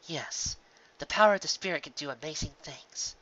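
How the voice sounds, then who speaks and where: A reader as an elder pony princess, calm, wise, weary, halting and measured, storybook figure, slightly dull recording. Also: medium pitch, no room sound. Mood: solemn. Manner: measured, halting, few words.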